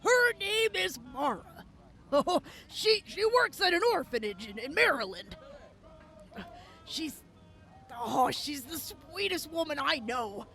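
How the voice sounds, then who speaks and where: A woman's voice: high voice, sing-song, slow pace, sharp tone, soft background music, exaggerated voice. A woman playing an earnest young soldier who gets shy and gushing. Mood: tender.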